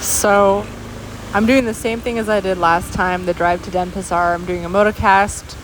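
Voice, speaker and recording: A woman doing a casual, musing voice-over in halting thoughts, recorded outdoors beside a waterfall. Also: mid-range voice. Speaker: vlogger. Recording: steady waterfall noise behind voice. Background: steady rushing water.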